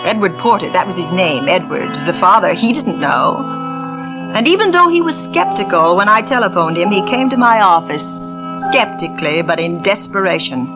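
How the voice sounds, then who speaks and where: An actress playing a persuasive spiritualist medium who narrates, intimate and theatrical, sometimes dropping to a whisper, in 1940s radio acting. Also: female voice, on the low side, animated, moderate pace, over loud music, close to an everyday voice, poor audio. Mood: brooding.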